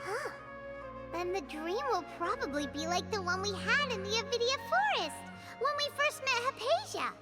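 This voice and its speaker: An excitable little fairy sidekick from a video game. The voice is squeaky and childlike, pitched extremely high and swinging in lively exclamations. Prominent game music plays underneath. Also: female voice, moderate pace. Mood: pondering. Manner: exclamatory, speaks of self in third person. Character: tiny floating fairy companion girl, bubbly, food-loving, excitable. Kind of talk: animated video game voice acting. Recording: game music playing behind. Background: loud playful game music.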